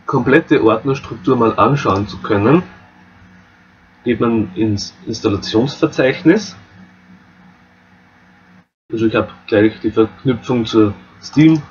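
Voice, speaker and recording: A man with an Austrian accent walking viewers through a game map editor, relaxed and explaining step by step. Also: lowish voice, dull audio, a little hiss or hum. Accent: Austrian German. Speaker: modding tutorial host. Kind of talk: screen-recorded software tutorial.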